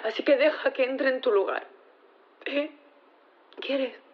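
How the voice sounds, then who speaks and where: Audio drama wife: high-pitched, pleading, insistent, devoted, slightly dull sound. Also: female voice, takes it slowly. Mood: tender, pleading. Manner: emotional, insistent, repeating words.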